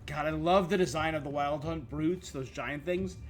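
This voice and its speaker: A man's voice: on the high side, moderate pace, heavy background noise. A man giving casual, offhand live commentary as he streams a game. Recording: loud game music behind.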